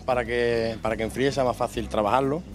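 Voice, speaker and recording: A man with a mid-pitched voice, calmly giving practical cooking tips beside a reporter. Faint music plays behind. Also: fairly quick.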